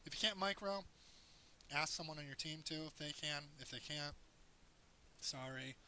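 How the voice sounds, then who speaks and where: A man with a hoarse voice, casually talking through a strategy game as he plays it, explaining tips in a loose, rambling way. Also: fairly high pitch, normal speed, bright, forceful tone, a little hiss or hum. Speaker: gameplay commentator. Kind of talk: casual game tutorial commentary.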